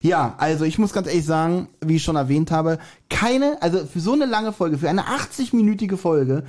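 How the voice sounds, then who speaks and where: Man, fairly high-pitched, casual podcast co-host, colloquial, opinionated. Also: animated, moderate pace, a little mellow, slight background noise. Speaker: podcast co-host reviewing audio dramas.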